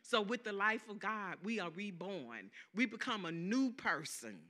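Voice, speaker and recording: A woman's voice: on the high side, sharp tone. A woman with a Southern accent preaching a sermon, emphatic, repeating key phrases and calling out for amens.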